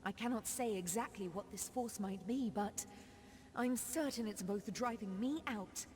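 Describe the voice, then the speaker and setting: An actress playing a solemn, foreboding sorceress in a fantasy video game, speaking in grave, formal, measured phrases. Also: female voice, medium pitch, darkish tone, slight background noise, natural voice. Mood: sorrowful, fearful. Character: solemn, foreboding sorceress grieving over her wayward sister. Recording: long reverberant hall.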